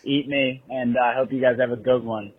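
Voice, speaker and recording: A man's voice: mid-range voice, fairly even intonation, a little fast, breathy edge, no room sound. A man leaving a casual, joking voicemail as a fan of a show, on a narrow lo-fi recording. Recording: dry, muffled lo-fi voicemail clip.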